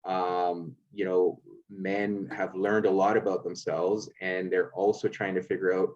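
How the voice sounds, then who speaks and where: A man's voice: low-pitched, level tone, measured pace, darkish tone, dull audio. A man hosting a podcast and life coaching, reading an earnest intro and then asking questions with many fillers.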